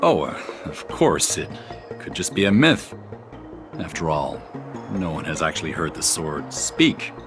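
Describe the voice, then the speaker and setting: A man's voice: extremely low pitch, very animated, measured pace, heavily acted. An actor playing an earnest, talkative man in a video game, speaking with dignified, emphatic storytelling. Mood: sheepish. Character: earnest, talkative man proudly defending a revered sword. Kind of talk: video game dialogue acting. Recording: music behind the voice.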